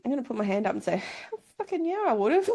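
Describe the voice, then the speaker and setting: A woman's voice: a little high, sing-song, fast talker, mellow. A woman co-hosting a casual chat show, bantering in a cheeky, candid and playful way. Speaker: book club podcast co-host.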